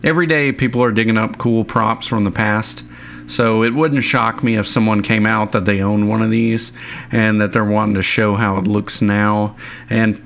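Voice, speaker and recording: A man's voice: lowish voice, fairly even intonation, normal speed, fairly bright tone, slight background noise. A young man reading a scripted voice-over for his online video in a plain, informal way. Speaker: YouTube video narrator.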